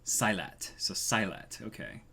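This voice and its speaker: A man co-hosting a manga read-along, reading aloud and reacting casually with goofy, excitable exclamations. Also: on the low side, measured pace, creaky, slightly rough.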